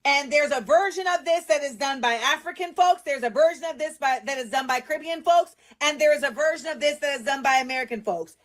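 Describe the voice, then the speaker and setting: A woman offering reflective, wry commentary in a video clip, speaking in a measured way. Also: high-pitched.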